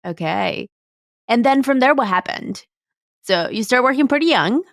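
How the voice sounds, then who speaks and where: A woman's voice: a little high, animated, normal speed, creaky, dry recording, studio quality. A woman hosting an interview podcast, speaking English with some Spanish phrases mixed in. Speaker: podcast host.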